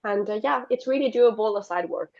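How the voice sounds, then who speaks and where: A woman whose first language is French, hoarse and losing her voice from illness, speaking softly and a little haltingly in a webinar. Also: medium pitch, no echo. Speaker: program staff member. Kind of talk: webinar presentation. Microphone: video call. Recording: dry, dull lo-fi call audio.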